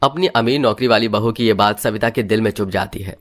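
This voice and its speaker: A man's voice: lowish voice, fairly quick, a bit bright. A man narrating a scripted moral story in a dramatic storytelling style.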